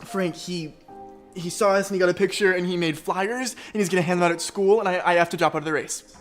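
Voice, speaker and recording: An actor playing an anxious, defeated teenage class president, hesitant and stammering. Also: male voice, high voice, steady tone, fast talker, soft background music, lightly acted. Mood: distressed.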